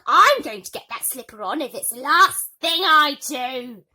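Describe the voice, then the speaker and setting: A woman's voice: high voice, lively intonation, measured pace, bright, forceful tone, pitched above the performer's own voice, exaggerated voice. A woman voicing a bitter young stepsister in a storybook, shrieking and grizzling with stretched-out words. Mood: scornful.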